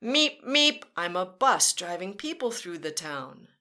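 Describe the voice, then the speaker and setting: A woman reads a children's picture book aloud, slow and unhurried, with a bright, clear tone. Her voice swoops up and down in a lively sing-song, and she gives the vehicle noises a playful bounce. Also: on the low side. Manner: talks directly to children. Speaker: children's storyteller. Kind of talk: read-aloud storytime.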